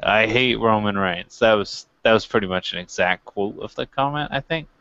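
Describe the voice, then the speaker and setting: Low-voiced man in wry casual chat. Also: normal speed, sharp tone, clear, resonant tone, no echo, lo-fi sound. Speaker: co-host of wrestling review show.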